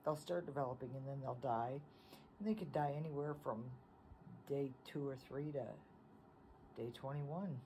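A woman's voice: extremely low pitch, normal speed, long pauses, warm tone. A plain-spoken, folksy woman giving casual home-video narration on a noisy phone recording. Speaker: farm vlogger.

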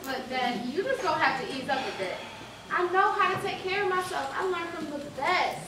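Woman acting sassy high-voiced young daughter, echoey stage. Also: expressive, a little fast. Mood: defiant. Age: young adult. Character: sassy, self-assured young daughter out for a night. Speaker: stage actor. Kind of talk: live stage play acting, casual urban dialogue. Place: indoor theater stage. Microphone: far camera. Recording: echoey theater, distant mic, audience noise.

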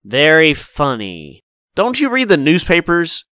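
An actor plays a male shop manager in a voiced visual novel, cautious and eager to placate. His delivery is emphatic and very animated, in a close, dry recording. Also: on the high side, unhurried, clean tone, no room sound, exaggerated voice. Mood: gossipy, cautioning.

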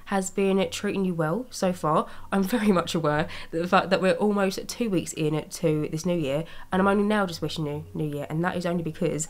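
A young woman with a slightly raspy voice from a cold, chatting casually as she narrates her video-game building. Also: on the low side, fairly quick, hardly any pauses. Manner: chatty, rambling, with small laughs. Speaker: Sims speed-build YouTuber. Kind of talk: casual commentary over gameplay. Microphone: voice-over recorded separately from gameplay.

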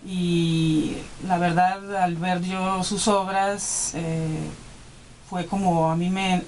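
Female guest, low voice, slow and hesitant, faint studio hiss. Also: a little mellow, poor audio. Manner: slow, hesitant, many fillers. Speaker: artist's representative. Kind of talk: radio interview guest. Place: small radio studio. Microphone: desk studio mic.

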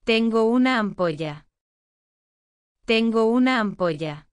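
Slow, crisp woman reading phrases. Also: fairly high pitch, expressive, dry recording, clean studio sound. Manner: clear, careful enunciation, each phrase said twice. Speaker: language lesson reader.

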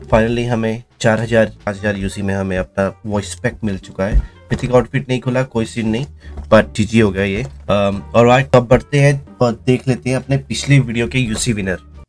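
A man's voice: on the low side, fairly even intonation, normal speed. A man hosting a gaming video in casual, slangy, exclaiming reaction commentary. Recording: loud music behind the voice. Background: loud music.

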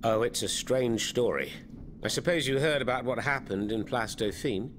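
An actor playing a fervent, florid French revolutionary in a video game, speaking with a French accent. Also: male voice, medium pitch, moderate pace, slight background noise, close to an everyday voice. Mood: conspiratorial. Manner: theatrical, florid, eloquent. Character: theatrical, fervent male French revolutionary hiding underground. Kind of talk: video game cutscene acting.

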